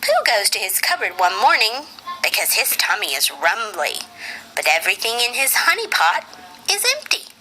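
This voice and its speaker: A woman narrating a children's storybook for a puppet show in an expressive, sing-song read-aloud manner. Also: medium pitch, moderate pace, a little hiss or hum, poor audio.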